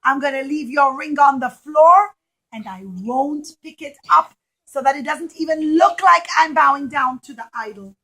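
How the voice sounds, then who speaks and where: A brave little storybook boy, played by a woman, refuses firmly in a high, bright voice. He is loud and defiant, pressing hard on each word as in a puppet show. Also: normal speed, rough recording. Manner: loud, firm, emphatic. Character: brave, defiant little boy who will not bow.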